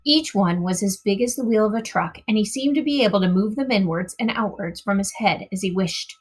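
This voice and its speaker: A woman reading a storybook aloud to children in an expressive narrating style. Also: mid-range voice, brisk, slightly rough. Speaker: storybook reader.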